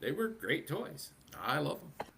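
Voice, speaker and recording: Man, fan panelist. Mid-pitched with very varied intonation, enthusiastic casual chat. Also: very animated, a little slow, creaky, bright, forceful tone. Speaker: panelist at a fan convention discussion.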